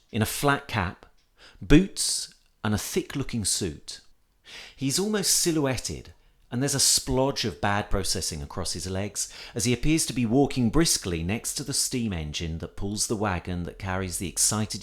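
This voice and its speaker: Man, low-pitched voice, animated intonation, reflective essay reading, measured delivery. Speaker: reader of a personal essay. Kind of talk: scripted narration of a reflective memoir.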